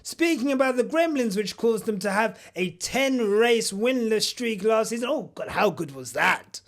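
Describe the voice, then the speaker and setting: A man's voice: high-pitched, animated, normal speed. A man hosting a parody news segment, delivering it in a mock-pompous, boastful way with jokey asides. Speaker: comedic news host.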